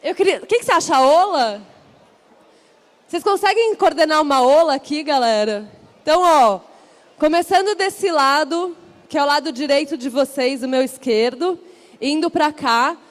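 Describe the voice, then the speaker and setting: Woman, high voice, São Paulo accent, event host, upbeat, chatty with the crowd. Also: lively intonation, moderate pace. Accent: São Paulo Portuguese. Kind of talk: live stage hosting. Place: stage at a large event.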